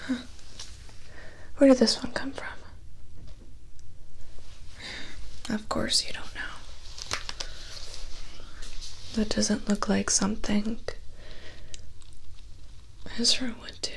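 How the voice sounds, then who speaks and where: A young woman playing a weary, grumbling vampire's assistant in a hushed ASMR roleplay, muttering softly as she goes. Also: fairly high pitch. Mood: quietly inquisitive. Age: young adult. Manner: hushed, slow, muttering asides. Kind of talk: ASMR roleplay, soft-spoken and whispered. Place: small dark indoor set with cloth backdrop.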